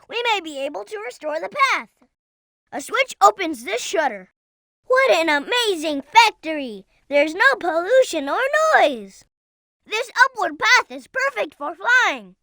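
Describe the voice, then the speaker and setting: A performer voicing a young boy fox sidekick in a video game, childlike, earnest and excitable, eager to explain things. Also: very high-pitched, dry recording, full character voice. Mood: earnest, observant, then excited, amazed. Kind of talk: video game character voice acting.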